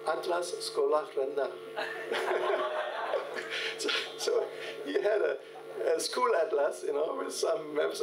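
An older man speaks from a podium in a large hall, telling anecdotes in a halting, filler-laden way. His voice has a gravelly edge. It is picked up from a distance, with long room echo and a steady mains hum behind. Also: on the high side. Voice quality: halting, many "uh" fillers and restarts. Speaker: keynote speaker. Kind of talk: conference lecture with anecdotes. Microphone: podium microphone, recorded from the hall.

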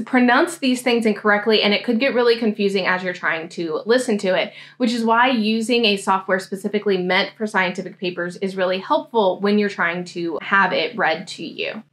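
A young American woman giving a casual software tutorial, explaining things step by step. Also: mid-range voice. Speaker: host, academic coach demonstrating software. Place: indoor room, seated at a desk.

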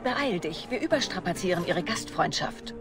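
A woman's voice: on the low side, expressive, moderate pace. An actress voicing a commanding, secretive female spymaster in a video game, curt and imperious. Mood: brusque, evasive. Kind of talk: dramatic video game dialogue. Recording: clear music behind the voice.

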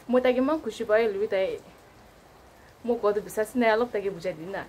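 Young female vlogger, mid-pitched voice, casual phone-prank conversation, recorded close on a phone at home. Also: brisk, darkish tone, a little hiss or hum, low-quality recording. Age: young adult. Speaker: vlogger making prank calls. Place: small room at home, indoors.

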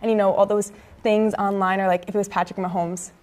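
A young woman with an American accent gives conversational sports commentary as a co-host. Her pitch sits in the middle for a woman, and she talks at a studio desk into a lapel mic. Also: flat delivery, quick pace, darkish tone. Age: young adult, early 20s. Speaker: student sports show co-host. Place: indoor campus TV studio at a desk.

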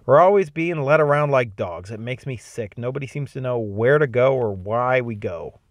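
A man voicing an angry, frustrated young male soldier in a read-aloud story, with loud, exasperated outbursts. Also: medium pitch, expressive, moderate pace, dry recording, lightly acted. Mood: indignant.

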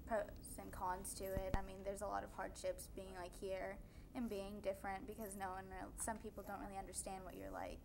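A woman's voice: medium pitch, a little fast, gravelly. Young woman, student guest, casual chatter, lapel mic, noisy studio. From Kentucky, US. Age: young adult. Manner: chatty, frequent "like" fillers. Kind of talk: casual interview answers. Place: TV studio set.

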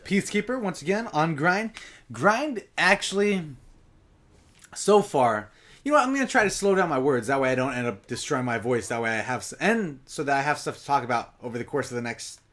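A young man giving casual live commentary over his own gameplay, talking straight to his viewers, his voice a bit raspy from long sessions. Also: mid-range voice, big pitch swings, brisk, fairly bright tone. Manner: chatty, casual, addresses viewers directly.

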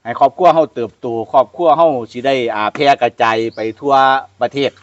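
Fast-talking man with an Isan accent. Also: mid-range voice, a little hiss or hum. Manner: fast, playful banter. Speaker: co-host. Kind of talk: casual comedic chat. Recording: dull, lo-fi sound.

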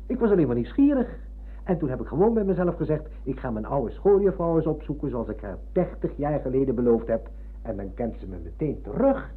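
Husky, courteous but needling middle-aged man. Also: medium pitch, very animated, fairly quick. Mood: polite. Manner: formally courteous, insistent. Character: polite, probing, needling middle-aged male visitor. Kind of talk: radio drama acting. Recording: muffled, narrow-band old radio recording.

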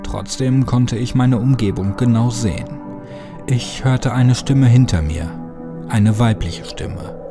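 A man's voice: mid-range voice, takes it slowly, music in the background. A man reading a scripted horror story as an audiobook narrator.

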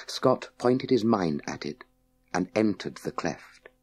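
Male audiobook narrator for young people's sci-fi: low, very unhurried, suspenseful, with dramatic pauses. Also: lively intonation, a little mellow, husky. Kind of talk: scripted dramatic narration of a children's sci-fi story.

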